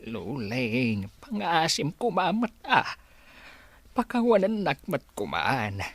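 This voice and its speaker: A remorseful man played by an actor with a husky voice that rises and falls widely. He pleads slowly for forgiveness in a radio drama. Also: a little high, huge pitch swings, fairly bright tone, no echo, full character voice. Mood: apologetic pleading. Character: remorseful character begging forgiveness.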